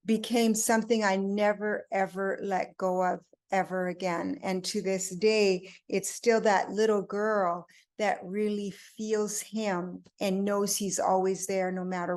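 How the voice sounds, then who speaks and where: An older woman from Belgium and Italy telling her personal faith story unscripted, in a reflective, halting way with emphatic pauses. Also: medium pitch. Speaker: group member giving a personal testimony.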